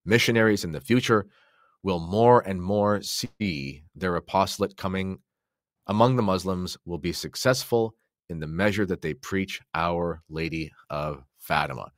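A man's voice: deep voice, moderate pace, fairly bright tone, no room sound, studio quality. A man talking conversationally on a podcast, commenting and reading quotations aloud. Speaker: podcast guest or co-host.

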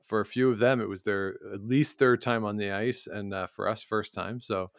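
Canadian guy chatting sports as a podcast host, clean smooth voice, really dry close sound. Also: lowish voice, fairly even intonation, moderate pace, no room sound. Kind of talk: casual sports talk with results recaps.

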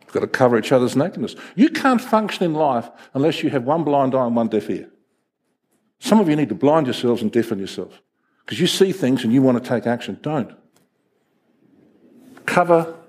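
A middle-aged male pastor, a native Greek speaker, preaching a sermon in an animated storytelling manner. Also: medium pitch, breathy edge. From Greek heritage, first language Greek. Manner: animated, storytelling with acted voices.

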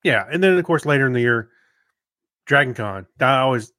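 A middle-aged man chats as a podcast co-host in a mid-range voice. He speaks in a casual, halting ramble, recorded dry with very little room sound. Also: medium pitch, animated, pushed and bright, no room sound. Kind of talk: casual chat.

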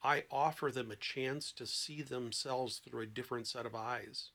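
A man who works as a therapist, talking conversationally as a podcast guest and telling anecdotes in an expressive, emphatic way. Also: mid-range voice, normal speed. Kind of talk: conversational explanation with anecdotes and acted-out quotes.

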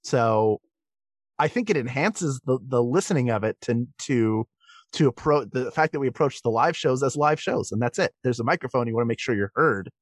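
A man's voice: mid-range voice, lively intonation, normal speed, dry recording. A man giving thoughtful, explanatory answers as a performer guesting in a conversational interview. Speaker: podcast guest, comedic stage and voice performer.

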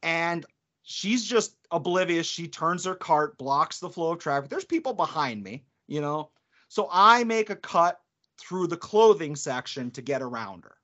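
A man co-hosting a podcast, telling an animated story in casual chat and laughing between lines. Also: a little high, a little slow. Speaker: podcast co-host. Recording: dull lo-fi podcast audio.

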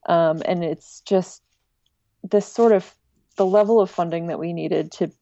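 Female interview guest. Soft mellow tone, informal and wry, dry but slightly dull lo-fi sound. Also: lowish voice, fairly even intonation, unhurried, dry recording. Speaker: interview guest, director of an online music archive. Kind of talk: casual spoken interview.

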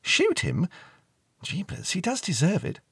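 An excitable young woman with a bright, bouncy lilt, as a male narrator puts her on. The voice is a little husky and recorded close and dry. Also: high voice, unhurried, no echo, voice pushed up, heavily acted. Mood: eager. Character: young woman, heartbroken then perky.

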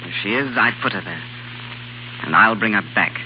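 An actor playing a weary, desperate and proud young sculptor in a 1930s radio drama, speaking haltingly. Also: male voice, lowish voice, lively intonation, natural voice. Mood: anguished. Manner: hesitant, halting, repeating words. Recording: dull, hissy 1930s radio broadcast.

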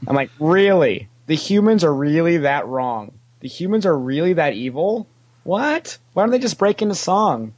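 A man's voice: fairly high pitch, normal speed. Opinionated, joking man in casual chat. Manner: opinionated, animated when quoting, sarcastic joking. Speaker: podcast guest.

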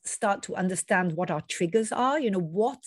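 A woman in her fifties hosting a podcast, who introduces her guest and asks questions in a warm, encouraging way. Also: medium pitch, lively intonation, moderate pace, no room sound. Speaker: podcast host. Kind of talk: podcast interview. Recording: dry close mic with faint music.

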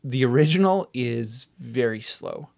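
A man's voice: mid-range voice, expressive, measured pace, vocal fry, darkish tone. A man in a casual interview as the guest, an opinionated enthusiast who likes explaining details. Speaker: interview guest, TV DVD website founder.

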